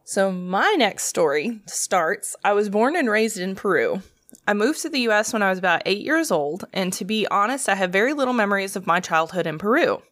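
A woman co-hosting a podcast, reacting with short exclamations and reading a spooky story in a casual, expressive way. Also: mid-range voice, fairly quick, dry recording, studio quality. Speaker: podcast co-host.

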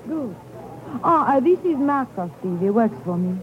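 A polite, businesslike crime boss speaks in a high, very animated voice. The style is old radio adventure, heard on a thin, noisy recording. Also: male voice, moderate pace, dull audio. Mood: brisk. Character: smooth-dealing male crime boss selling forged passports. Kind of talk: 1950s radio adventure acting.

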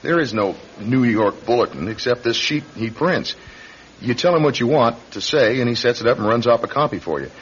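An American man acting as a calm, persistent police detective, deliberate and explanatory, in a radio crime drama. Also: mid-range voice, natural voice. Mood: methodical. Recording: dull recording with faint noise.

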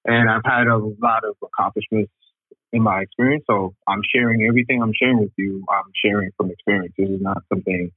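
A man calling in as a guest, calmly explaining spiritual ideas as a teacher of martial arts and metaphysics. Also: fairly low pitch, a little fast, slightly rough, dry recording. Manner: calm, steady, explanatory. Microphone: phone call. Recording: tinny phone line.

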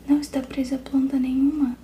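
A woman's voice: high-pitched, flat delivery, fast, mellow, roomy sound, slight background noise, close to an everyday voice. A woman whispering as an earnest young boy. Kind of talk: whispered storybook reading.

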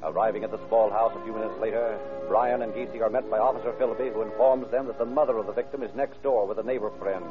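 A man's voice: on the low side, fairly even intonation, fast, few pauses, over music. A man announcing and narrating from a script in an emphatic, polished 1930s radio style. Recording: tinny old radio recording.

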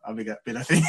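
Jamaican guy on an interview, halting, lots of ums, laughs a lot. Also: fairly high pitch, sharp tone, husky. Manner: hesitant, many "um" pauses, laughs easily. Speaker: guest florist. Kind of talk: casual interview chat.

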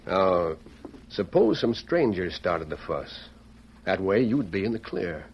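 Cold, low-pitched western villain. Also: male voice, big pitch swings, takes it slowly, heavily acted. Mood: cold, scheming. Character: cold, calculating western villain plotting murders. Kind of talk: old-time radio western acting. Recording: muffled old radio recording, faint noise.

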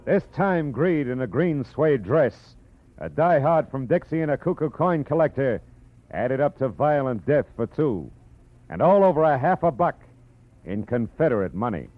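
An actor playing a hard-boiled, world-weary private eye who narrates in a dry, brooding tone, in a 1940s radio drama. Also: male voice, mid-range voice, lively intonation, normal speed, lightly acted. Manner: dry, brooding, tough-talking. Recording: muffled old radio broadcast with music.

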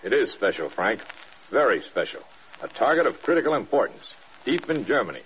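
An American man playing an authoritative, shrewd senior general in a mid-century radio drama, measured and emphatic. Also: medium pitch, sing-song, a bit bright. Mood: urgent, grave. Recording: muffled vintage radio with faint noise.

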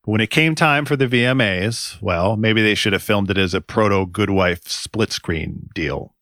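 A man hosting a music podcast, reading scripted commentary in a dry, wry, deadpan way. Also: fairly low pitch, normal speed, no room sound. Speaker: podcast host.

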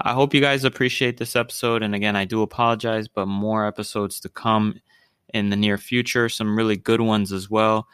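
Smooth low-voiced male podcast host. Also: fairly bright tone, no room sound, clean studio sound. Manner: easygoing, repeats words and restarts. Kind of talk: casual podcast chat.

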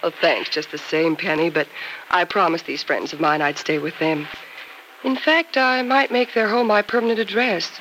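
An actress playing a polite, practical, independent nurse in an old-time radio soap opera. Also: female voice, on the low side, moderate pace, a bit bright, natural voice. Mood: polite, matter-of-fact. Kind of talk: 1940s-50s radio soap opera acting. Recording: noisy vintage radio recording.

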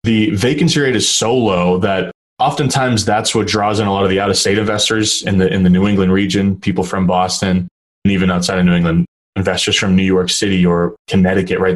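A young man with a deep, low voice talks through his ideas in a relaxed interview. He hedges and restarts often, pausing on fillers as he thinks. He grew up in New Hampshire. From New Hampshire, USA. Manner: thoughtful, hedging with fillers and restarts. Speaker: real estate investor guest. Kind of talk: casual interview talk.

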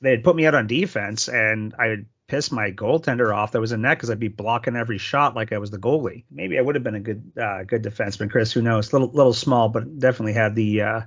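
A man's voice: mid-range voice, fast talker, hardly any pauses, a bit bright. Talky co-host guy, rambles through stories, dull lo-fi podcast audio. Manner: rambling, storytelling, chatty. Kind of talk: casual hockey podcast chat.

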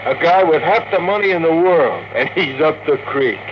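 A man's voice: fairly high pitch, animated, normal speed, muffled recording, close to an everyday voice. An actor playing a brash, wisecracking rocket crewman in an old-time radio drama. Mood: mocking. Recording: noisy old radio recording.

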